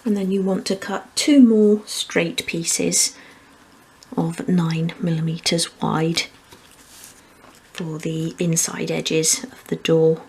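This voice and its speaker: A woman's voice: lowish voice, flat delivery, slow, vocal fry, darkish tone, husky. A woman presenting a hands-on craft tutorial, explaining each step methodically. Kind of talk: hands-on step-by-step instructional demonstration.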